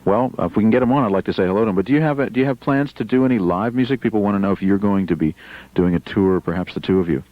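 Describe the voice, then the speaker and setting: Male radio DJ, low-ish and friendly, stumbling restarts; dull old radio sound. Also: racing, no echo. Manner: friendly, stammers and restarts questions. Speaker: radio host. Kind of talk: live on-air phone interview. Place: radio studio. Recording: dull broadcast radio recording.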